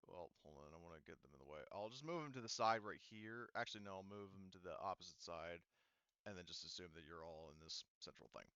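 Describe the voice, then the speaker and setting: A low, bright male voice with a halting, think-aloud delivery, casually running a tabletop roleplaying game. The sound is close and dry, slightly dull on the top end. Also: clean tone, no room sound. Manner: halting, thinking aloud while working out details. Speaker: game master.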